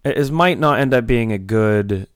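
A young man co-hosting a podcast with a slightly raspy voice, telling a long party story in a rambling, animated way with lots of fillers. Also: mid-range voice, moderate pace, no room sound, clean studio sound. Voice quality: a little raspy. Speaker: podcast co-host. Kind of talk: casual storytelling chat.

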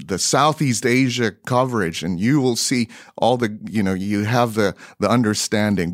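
A middle-aged man with a Filipino accent telling stories as an interview guest, conversationally and a little haltingly as he searches for words. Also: medium pitch, no echo, clean studio sound. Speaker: guest missionary broadcaster.

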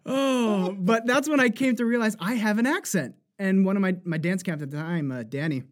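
High-voiced animated man with a New Jersey accent. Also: moderate pace. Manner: animated, laughs often, slips into accent demos. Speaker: voice actor guest. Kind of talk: casual interview chat.

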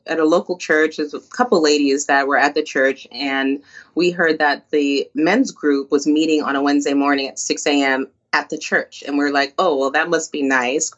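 An upbeat woman tells her story as an interview guest. She talks with quick asides, and her voice comes through slightly muffled. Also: sits low. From born in Colombia, raised in New Jersey. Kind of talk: casual interview conversation.

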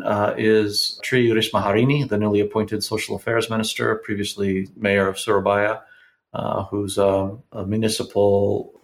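A man giving political analysis in conversation, speaking in a measured, halting way with formal vocabulary. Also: on the low side, gravelly. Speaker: political analyst, interview guest.

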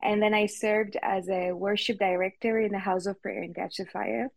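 Woman, French accent, native French speaker, giggly, hesitant, mid pitch, dry sound. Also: level tone, moderate pace, creaky, dry recording. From Switzerland. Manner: giggly, hesitant with many ums. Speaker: pastor and guest. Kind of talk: casual interview chat.

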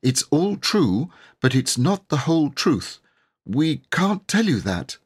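A man's voice: fairly high pitch, sing-song, takes it slowly, breathy edge, voice pushed up, exaggerated voice. A man voicing an earnest, loyal little girl who implores simply and urgently in a children's story. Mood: imploring.

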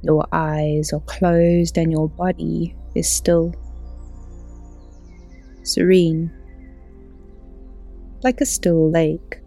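A woman yoga teacher leading a guided relaxation in a soothing, unhurried, gently instructing way. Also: deep voice, little pitch movement, gravelly, soft background music. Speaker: yoga teacher and podcast host. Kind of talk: guided relaxation meditation narration.

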